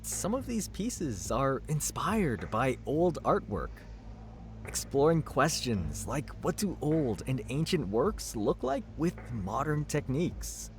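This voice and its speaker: Animated, nerdy art buff. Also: male voice, medium pitch, slow, a little hiss or hum. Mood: enthusiastic. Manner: expressive, lecturing, teasing. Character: chatty, nerdy, good-natured male art buff friend. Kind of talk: video game voice acting.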